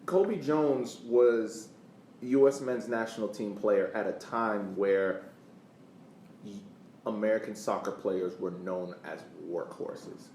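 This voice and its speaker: A man co-hosting a sports podcast with casual commentary, deliberately repeating words for emphasis. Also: lowish voice, a little mellow. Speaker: podcast co-host. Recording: echoey room, distant mic, faint noise.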